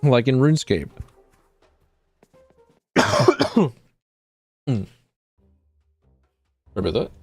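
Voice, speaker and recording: A man's voice: medium pitch, wildly swooping pitch, drawn-out words, gravelly, pauses often, husky, no room sound, faint music behind. A man streaming a video game, chatting casually in a rambling, wry way and often correcting himself. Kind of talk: casual gameplay commentary.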